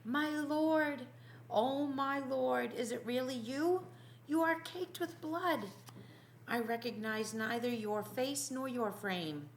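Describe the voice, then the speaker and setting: A woman's voice: sits high, takes it slowly, roomy sound, slight background noise, pitched above the performer's own voice, poor audio. A woman voicing a bewildered, alarmed male disciple, exclamatory and imploring, in an audiobook. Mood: shocked awe.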